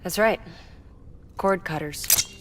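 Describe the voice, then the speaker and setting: A woman's voice: on the low side, slow. An actress playing a weary, frank young woman engineer and grieving mother in a video game, speaking in a matter-of-fact, confiding way. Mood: matter-of-fact, explaining. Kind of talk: video game cutscene voice acting. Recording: loud music under the voice.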